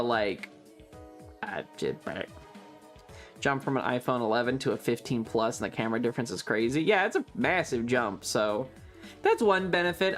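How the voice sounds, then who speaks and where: A livestream host chatting casually with viewers in a rambling, loose, casually profane way. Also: medium pitch. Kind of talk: casual chat with stream viewers.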